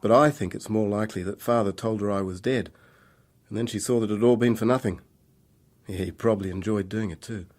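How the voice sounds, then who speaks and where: Man acting a troubled, low-voiced young fugitive. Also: brisk, darkish tone, natural voice. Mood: bitter, guilty. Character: troubled young man on the run, guilty and bitter. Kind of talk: radio drama acting.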